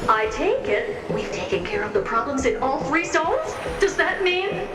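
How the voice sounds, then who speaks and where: An echoing, high-voiced mirror attendant. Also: female voice, very animated, unhurried, heavily acted. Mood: courteous, eager. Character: polite, eager female living mirror attendant. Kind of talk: dramatic video game voice acting. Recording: echoing, distant voice under loud music. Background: loud game music.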